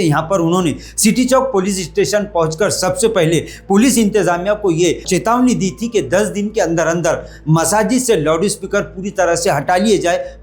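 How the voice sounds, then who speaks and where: A man delivers a news report. His diction is formal and flavoured with Urdu, in the style of a presenter narrating events. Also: sits high.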